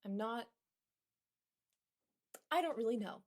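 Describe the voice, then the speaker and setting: Defiant female patient, high and animated. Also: slow. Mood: irritated. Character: defiant, angry young female patient fighting her fears. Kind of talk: horror audio drama acting.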